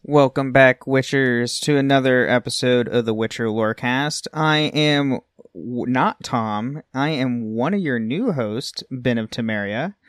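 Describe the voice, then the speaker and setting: Male podcast co-host, mid-pitched, cheerful banter, dry close-mic sound. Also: unhurried, no echo. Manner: upbeat, joking banter. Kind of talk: casual podcast chat.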